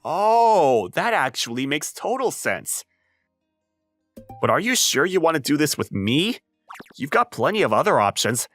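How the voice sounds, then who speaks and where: An exasperated high school boy acted by a man with a highly animated, swinging delivery. He is a flustered, introverted young writer from an anime comic dub who lectures dramatically, with music playing underneath. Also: a little slow, dry recording, heavily acted. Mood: hesitant, surprised. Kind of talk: anime-style comic dub acting with narration.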